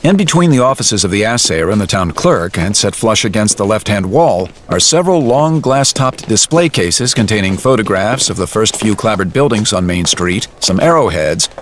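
A man acting as the narrator of an audio drama, telling it in a measured, descriptive, literary way. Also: on the low side, fairly bright tone, a little hiss or hum. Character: omniscient male storyteller narrating in present tense.

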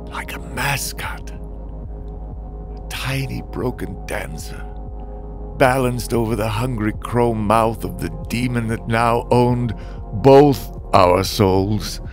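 A man playing a sinister, brooding middle-aged criminal mastermind, telling his dark memories in a deliberate, theatrical, menacing way for a motion comic. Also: mid-range voice. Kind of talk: dramatic comic-book monologue.

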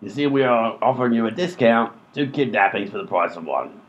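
A man's voice: on the low side, expressive, fairly quick, close to an everyday voice. A man playing a dim, easily exasperated robber sidekick in a comedy radio play, blunt and colloquial. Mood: weary, blunt. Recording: dull lo-fi audio, music behind.

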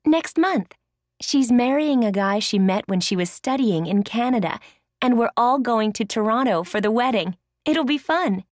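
A woman's voice: on the high side, lively intonation, normal speed, no room sound, clean studio sound, close to an everyday voice. A woman playing a friendly, chatty acquaintance making small talk in a scripted listening-exercise dialogue. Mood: excited, pleased.

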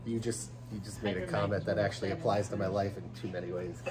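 A man's voice: fairly low pitch, level tone, quick pace. A man bantering casually and jokingly as a shopping companion, standing off a handheld camera in a shop. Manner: joking, silly. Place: indoor video and game shop.

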